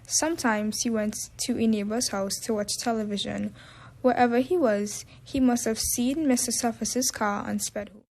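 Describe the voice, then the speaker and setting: A woman narrates a scripted story in a slightly high voice. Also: fairly even intonation, normal speed, darkish tone, slight background noise.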